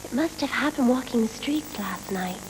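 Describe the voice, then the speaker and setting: A woman's voice: a little high, lively intonation, moderate pace, slight background noise, lightly acted, poor audio. A woman voicing an eager, curious young cyborg girl in an English anime dub, from an old tape. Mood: curious, friendly.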